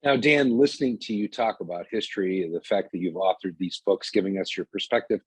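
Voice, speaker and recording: A man hosting a podcast interview, asking long, halting questions with many restarts. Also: sits low, slightly rough, dry recording. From United States, Chicago. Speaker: guest host, interviewer.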